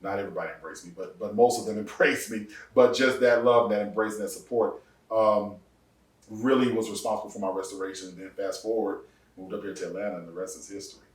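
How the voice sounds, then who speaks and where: A man in his forties, a pastor, telling his testimony in a casual podcast interview, laughing often and jumping up in pitch for punchlines. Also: fast talker, distant mic. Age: 40s. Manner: animated storyteller, laughs often, rises sharply for punchlines. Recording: echoey room, voice off-mic.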